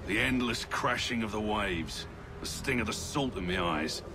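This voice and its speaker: Low-voiced grumbling soldier played by a man. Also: normal speed, noisy background, close to an everyday voice. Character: grumbling, cynical colonial-era soldier. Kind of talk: dramatic video game acting.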